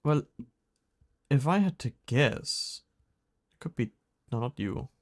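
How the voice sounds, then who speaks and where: A man's voice: medium pitch, animated, slow. A man streaming a video game, chatting casually and musing aloud in a self-deprecating way as he plays. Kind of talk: casual gameplay chat, reading clues aloud.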